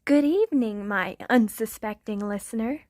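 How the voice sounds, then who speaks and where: A woman's voice: on the high side, normal speed, darkish tone, no echo, clean studio sound, close to an everyday voice. A woman voicing a clumsy, goofy male vampire count in a comedic ASMR roleplay, giggly and theatrical. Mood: playful. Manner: giggly, theatrical, breaks into laughter.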